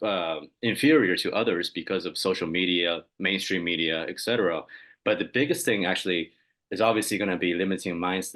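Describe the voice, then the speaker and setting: A man's voice: low-pitched, fairly bright tone. A man on a dating coach panel, talking in an assured way and building on others' points. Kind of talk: casual panel discussion.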